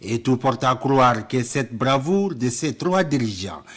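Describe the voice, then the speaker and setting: Male news reporter, formal scripted voice-over, mid-pitched, measured and solemn. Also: lively intonation, a bit bright.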